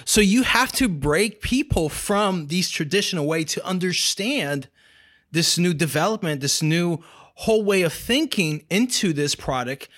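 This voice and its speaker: A man hosting a conversational interview, speaking in a halting, affirming way. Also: high-pitched, lively intonation, a bit bright. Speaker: podcast host.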